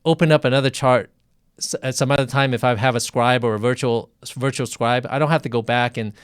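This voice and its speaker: A man hosting a conversational live interview as a physician, speaking haltingly and repeating phrases, in a quiet sound-treated room. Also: mid-range voice, fairly even intonation, clear, resonant tone, no echo, studio quality.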